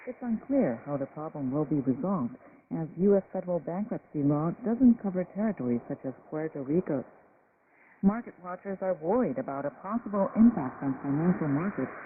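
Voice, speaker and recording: A man's voice: fairly high pitch, lively intonation, brisk. A man presenting scripted news on an international shortwave radio broadcast. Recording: tinny shortwave radio with loud static. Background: loud shortwave static and noise.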